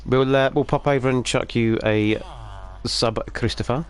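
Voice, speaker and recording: A man hosting a live game stream, croaky today and joking about himself in casual chat. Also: medium pitch, a little slow. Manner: jokey, self-mocking, animated. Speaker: streamer host.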